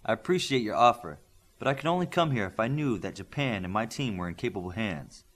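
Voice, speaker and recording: Man reading as a dignified, calm warrior father. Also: fairly low pitch, a little fast.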